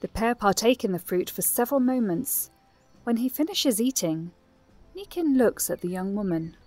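A woman's voice: on the high side, expressive, normal speed, a little mellow, dry recording, faint music behind. A woman narrating a scripted audio drama.

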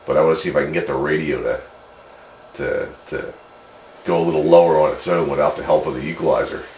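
Real low voiced guy rambling tech stuff on cb radio, roomy with some hiss. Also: steady tone, fast talker, a little mellow. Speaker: amateur radio hobbyist. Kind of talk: casual chat over two-way radio. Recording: roomy, noisy CB radio speaker pickup.

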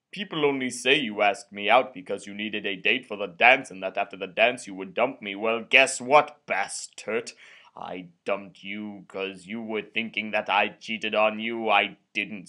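A man's voice: fairly low pitch, sharp tone. A young man giving an over-the-top dramatic reading of a letter, emphatic and theatrical, with words drawn out for effect. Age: young adult. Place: indoor room with drop-tile ceiling.

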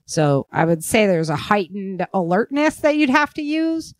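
A woman talks in a casual, animated way, like a podcast host among friends. Her voice sits at an average pitch and is recorded dry, with little room sound. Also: moderate pace, dry recording. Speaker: podcast host and fiction writer. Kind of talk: casual survivalist chat.

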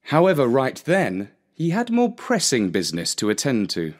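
Animated man telling a children's story. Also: mid-range voice, normal speed.

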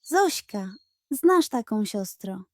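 A woman voicing a fake chicken spirit in a children's fairy tale, mysterious, pompous and full of grand flattery. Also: medium pitch, slow pace, no echo, studio quality. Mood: grandiose flattery.

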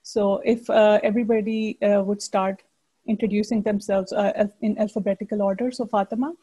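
A woman's voice: medium pitch, level tone, brisk, dark tone, breathy edge. A woman moderating an online panel discussion on a video call, speaking with many hesitant fillers.

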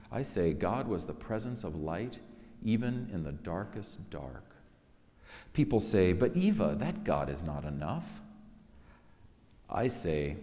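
A man in his fifties giving a solemn, measured poetry reading with dramatic pauses, in a large sanctuary. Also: low-pitched, warm tone. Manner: measured, dramatic pauses between short phrases. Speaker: author reading own work. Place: large synagogue sanctuary, indoors. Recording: long reverberant hall, music behind.

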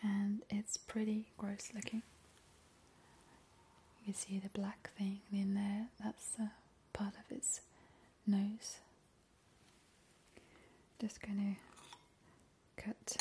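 A woman's voice: medium pitch, flat delivery, slow, pauses often, dark tone, a little hiss or hum. A woman voicing a gleeful, ghoulish vampire in an ASMR roleplay, relishing her words and repeating them soothingly. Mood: relishing, matter-of-fact. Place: indoor kitchen counter.

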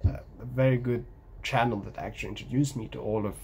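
A young man with an Egyptian accent, whose first language is Egyptian Arabic, talks to camera in a home vlog, pausing often with ums and restarts. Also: mid-range voice, fairly even intonation, dark tone, slight background noise. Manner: hesitant, many ums and restarts, gestures while talking. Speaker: language-learning vlogger. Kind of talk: casual talking-head vlog. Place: small room at home by a window.

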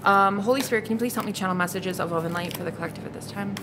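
A woman's voice: medium pitch, very fast. A woman in her thirties doing a casual tarot reading to camera, blunt and exasperated, swearing freely and repeating herself. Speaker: psychic tarot reader. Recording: noticeable background noise.

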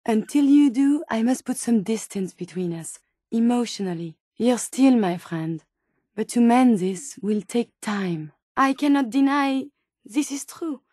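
An actress voicing a gentle, earnest female robot scientist turned human in a video game, with a French accent and formal, precise phrasing. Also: fairly high pitch, animated, measured pace, no room sound, clean studio sound. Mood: pleading, earnest. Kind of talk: video game character dialogue.

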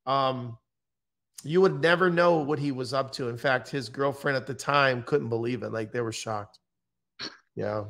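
American male podcast guest, middle-aged, mid-range pitch, matter-of-fact and conversational. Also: a little slow, a bit bright. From United States. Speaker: podcast guest, true-crime interviewer and author. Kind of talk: conversational interview talk. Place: indoor room, on a video call. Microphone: microphone on boom arm.

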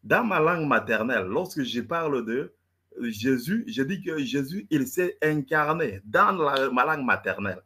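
A man's voice: medium pitch, animated, moderate pace, sharp tone, husky. A man debating in French, which is not his first language, stammering and repeating words as he lectures. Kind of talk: heated live debate.

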